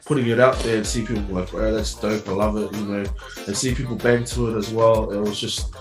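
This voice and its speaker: A man's voice: lowish voice, steady tone, fairly quick, echoey room, distant mic, music in the background. A man from New Zealand, a DJ and producer, chatting casually in an interview, laid-back with frequent laughs and fillers. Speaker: DJ and music producer.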